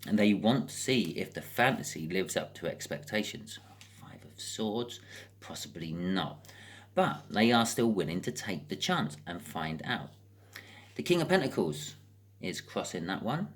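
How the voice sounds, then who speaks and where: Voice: deep voice, very animated, a little slow. A British speaker giving a casual, improvised tarot card reading as host, chatting and thinking aloud with wry asides.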